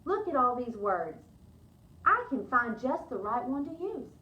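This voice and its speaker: A woman's voice: on the high side, big pitch swings, unhurried, bright, forceful tone, reverberant, far from the mic, lo-fi sound, heavily acted. A woman voicing a cheerful, naive, literal-minded housekeeper in a storybook, earnest and exclamatory.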